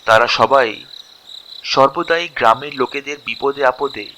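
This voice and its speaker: A man narrating a scripted story expressively, in short phrases with dramatic pauses. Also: mid-range voice, bright, forceful tone, a little hiss or hum, rough recording.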